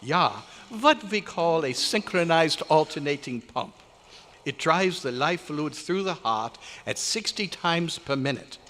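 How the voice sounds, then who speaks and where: A stern, excitable German scientist in an old-time radio play. His voice is rather high and very animated, with formal, emphatic foreign phrasing. It rings through a large, reverberant hall. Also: measured pace. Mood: instructive, proud. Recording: echoey live hall recording, faint noise.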